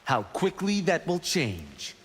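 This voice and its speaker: A man's voice: fairly high pitch, wildly swooping pitch, slow pace, husky, exaggerated voice. A man playing a brash, self-assured young monk fighter in dramatic video game dialogue. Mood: cocky. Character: cocky, quick-witted young male monk fighter. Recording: long reverberant hall echo.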